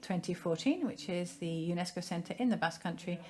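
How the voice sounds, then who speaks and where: A woman giving a simultaneous interpretation of a seminar in a measured, formal way, pausing now and then to find her phrasing. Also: on the low side, warm tone. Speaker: conference interpreter.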